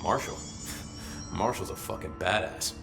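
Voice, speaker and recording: Low-voiced, grumbling security officer. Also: male voice, unhurried, creaky, over music. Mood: chatty, candid. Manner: casual, hesitant, grumbling, dryly humorous. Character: weary, foul-mouthed male security officer, wry and chatty. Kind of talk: naturalistic video game dialogue.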